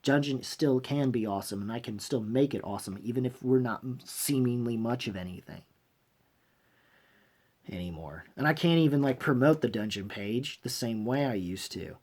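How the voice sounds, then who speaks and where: A man hosting a fan review channel, giving unscripted, opinionated commentary in a halting, emphatic, blunt way, often rewording his phrases. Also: medium pitch, lively intonation, a little mellow. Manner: blunt, swears freely.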